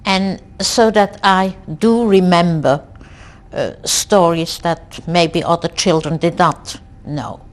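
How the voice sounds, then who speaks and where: A woman in her sixties from Belgium speaks in an unhurried, low-set voice. She tells her family's story in an oral history interview. She pauses and restarts often, reflective. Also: steady tone. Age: 60s. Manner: slow, reflective storytelling with frequent hesitations. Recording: archival 1990s interview tape.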